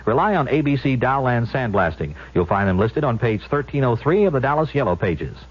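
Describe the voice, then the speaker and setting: Husky male radio ad announcer. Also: mid-range voice, sing-song, a little fast. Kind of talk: scripted vintage radio ad read. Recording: muffled vintage radio broadcast.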